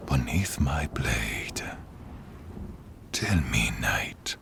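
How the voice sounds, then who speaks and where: A gravelly, very deep undead warlord. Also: male voice, full character voice. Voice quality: gravelly, breathy, rasping. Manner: menacing, slow, theatrical. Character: contemptuous undead male warlord with a bitter grudge against knights. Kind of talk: scripted fantasy audio roleplay.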